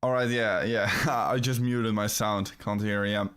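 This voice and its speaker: A young man with a Dutch accent, hosting a casual crypto livestream, talking in a rambling, halting way with many fillers and restarts while feeling a little ill. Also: lowish voice, fairly even intonation, a bit bright, clear, resonant tone. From Netherlands.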